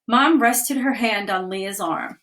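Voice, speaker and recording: A woman, a children's author, reading her picture book aloud in a livestream with clear, expressive delivery and chatty asides. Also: medium pitch, takes it slowly, slight background noise, rough recording. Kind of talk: children's storytime read-aloud. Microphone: webcam or phone for a livestream.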